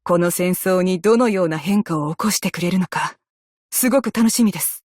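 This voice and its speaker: A woman playing a composed, dutiful female naval officer in a game, speaking with measured, formal military politeness. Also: on the low side, darkish tone, no echo, clean studio sound, natural voice. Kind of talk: scripted game character voice lines in Japanese.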